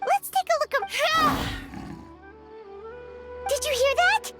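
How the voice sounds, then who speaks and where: A woman voicing a tiny, cheerful, excitable fairy companion in a video game, with a squeaky childlike voice and an earnest, exclamatory delivery. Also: slow pace, full character voice. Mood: curious, alert. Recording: loud game music behind. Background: loud music.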